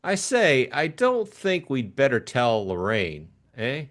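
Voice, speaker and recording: A man voicing a breezy, eager young upper-class gentleman in an audiobook mystery, with chummy, exclamatory, slangy talk. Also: mid-range voice, wildly swooping pitch, slow, pitched above the performer's own voice, full character voice. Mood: chummy, earnest.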